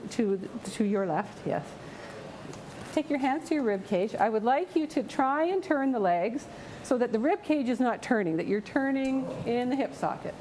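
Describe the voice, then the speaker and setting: A woman's voice: high-pitched, darkish tone, a little hiss or hum. A woman giving step-by-step yoga instructions, patient and directive, repeating her cues. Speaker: yoga teacher.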